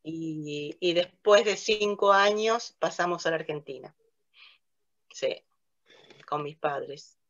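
A woman's voice: low-pitched, takes it slowly, long pauses, a bit bright, highs cut off. An older woman from Italy, an interview guest who works as a theatre narrator and director, telling her story in a casual and hesitant way, full of fillers and restarts. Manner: hesitant, many fillers and restarts, laughs often. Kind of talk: casual interview, recounting memories. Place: small room with a plain light wall. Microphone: video call, webcam facing her.

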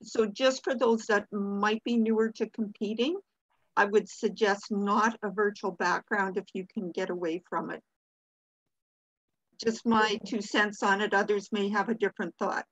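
A woman's voice: mid-range voice, steady tone, a little slow, no room sound. A woman in her sixties making informal comments as a participant in an online workshop. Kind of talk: informal comment on a video call. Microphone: video call computer mic. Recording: dull, dry video-call audio.

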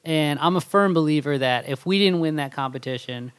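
A man's voice: on the high side, brisk, fairly bright tone, clean tone, no echo. A man answering podcast questions in a plain, matter-of-fact way. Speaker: kombucha company co-founder. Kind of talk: casual podcast interview.

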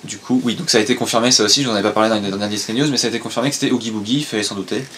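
A man's voice: fairly low pitch, fairly even intonation, racing, a bit bright, slight background noise. A young man co-hosting a casual chat, steadily explaining news and announcements. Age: young adult. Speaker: co-host, son. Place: room with shelves of toys and merchandise.

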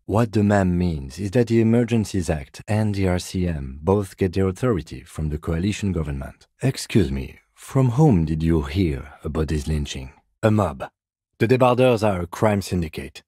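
A man playing a composed, dry-witted police lieutenant in a video game, speaking with measured, formal, deadpan courtesy. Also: low voice, slightly rough, no echo. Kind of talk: video game character dialogue.

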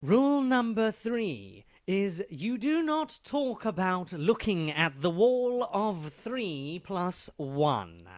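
A man voicing a patient but superior cartoon prisoner, measured and exasperated as he explains things in comedy dialogue. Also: high voice, very animated, no echo, clean studio sound, full character voice. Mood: didactic, exasperated.

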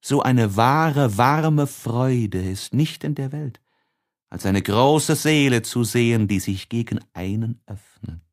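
Slow, measured male audiobook narrator. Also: medium pitch, animated. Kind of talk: expressive literary audiobook narration.

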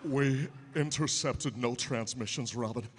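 A man voicing a pompous, campy superhero as a starship captain, deadpan and halting, in a live comedic reading. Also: medium pitch, natural voice. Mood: earnest, pompous. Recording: noisy live convention stage.

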